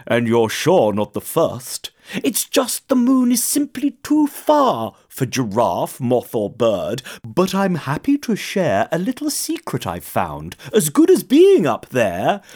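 A woman's voice: mid-range voice, a little mellow, voice pushed up, full character voice. Woman playing a wise, imperious storybook owl. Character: wise, grumpy, ruffled owl who turns kindly. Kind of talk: children's storybook character voice.

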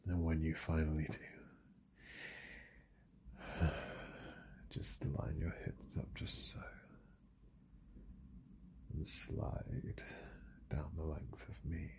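Man for intimate adult audio roleplay: deep, very breathy, unhurried, murmured delivery. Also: level tone, darkish tone, a little hiss or hum.

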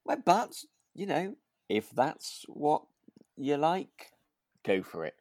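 A man's voice: a little high, very animated, takes it slowly, a little mellow, no echo, studio quality. A British man co-hosting a podcast, chatting casually about a radio drama in a chatty, giggly way. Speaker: podcast co-host.

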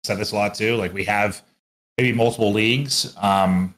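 A man's voice: lowish voice, steady tone, normal speed, fairly bright tone, poor audio. A man co-hosting a podcast, chatting casually and bluntly with plenty of swearing and colloquial phrasing. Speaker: esports commentator and podcast co-host.

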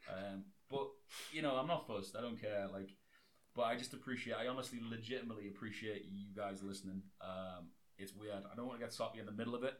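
A young man co-hosting a casual podcast, his voice hoarse and nearly gone, talking excitedly and swearing freely. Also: fairly low pitch, fast talker, rough recording. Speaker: podcast co-host. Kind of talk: casual chat.

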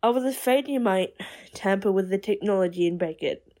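A woman's voice: medium pitch, brisk, dark tone, close to an everyday voice. A woman playing a stubborn, hot-headed young woman with powers in an audio drama. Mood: apologetic.

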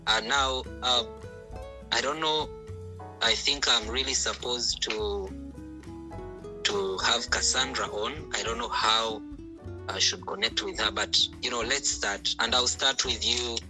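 A man's voice: medium pitch, fairly even intonation, unhurried, music in the background. A man hosting an online panel over call audio, polite and hesitant with frequent ums. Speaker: host, panel moderator.

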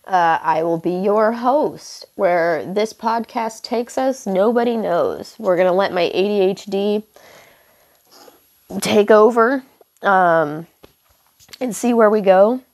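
A young woman hosting a podcast, hoarse and stuffed up from illness, rambling casually with lots of fillers and apologies while walking around her house on a headphone mic. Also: mid-range voice, slow, a little mellow. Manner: meandering, many fillers and apologies, casual swearing. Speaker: podcast host. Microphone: headphone mic into phone.

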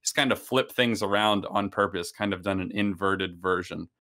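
Deep, bright-voiced man explaining. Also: normal speed. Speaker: discussion panelist. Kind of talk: casual discussion, explaining.

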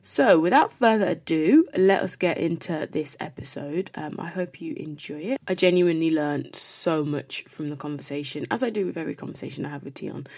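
Female podcast host, lower register, conversational interview style, effusive and appreciative delivery. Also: expressive, a little fast, hardly any pauses, darkish tone.

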